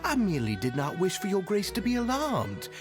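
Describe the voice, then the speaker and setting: Slick, unctuous Southern gentleman villain. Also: high voice, wildly swooping pitch, brisk. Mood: falsely polite. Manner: unctuous, elaborately polite, theatrical. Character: slick, obsequious, manipulative society leader feigning humble courtesy. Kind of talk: video game voice acting. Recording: game audio under loud background music.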